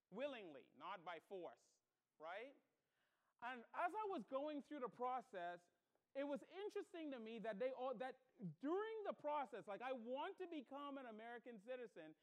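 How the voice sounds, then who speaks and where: Animated male preacher from the Bahamas. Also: very high voice. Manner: emphatic, with repeated phrases and rhetorical questions. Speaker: pastor. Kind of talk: sermon with personal storytelling.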